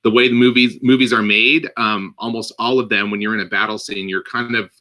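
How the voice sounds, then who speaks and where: A man co-hosting a casual movie podcast, telling stories in an animated way with lots of filler words. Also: on the low side, brisk. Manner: animated storytelling with many "like" fillers. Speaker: podcast co-host. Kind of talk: casual chat.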